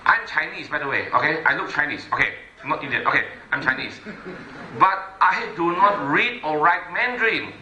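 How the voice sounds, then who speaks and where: A man's voice: fairly high pitch, lively intonation, normal speed, reverberant, slight background noise, rough recording. A middle-aged man giving an emphatic stage presentation to an audience, repeating his key points. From Malaysia. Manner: emphatic, repetitive, addresses the audience directly. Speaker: motivational business speaker.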